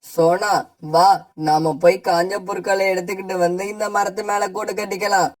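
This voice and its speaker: A man's voice: sits high, level tone, moderate pace, lightly acted. A man voicing a friendly, agreeable male sparrow, polite and reasonable, in a children's cartoon fable. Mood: cheerful. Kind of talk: cartoon voice acting.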